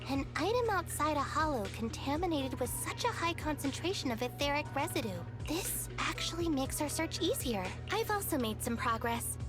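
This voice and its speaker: A female police automaton from a video game, with a high voice and calm, formal phrasing. She sounds wise and detached, explaining things in a measured way. Music plays clearly behind her. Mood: calm, authoritative. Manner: measured, formal, matter-of-fact.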